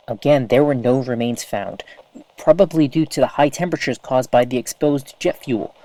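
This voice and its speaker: A woman's voice: extremely low pitch, dark tone, slight background noise, rough recording. A woman playing an eager, awkward small-town radio host in an audio drama, chatty and hesitant.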